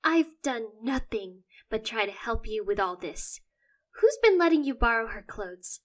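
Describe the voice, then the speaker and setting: A woman's voice: on the high side, big pitch swings, a little slow. A woman voicing a caring younger sister who snaps in frustration and pleads. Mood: pleading. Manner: snapping, pleading.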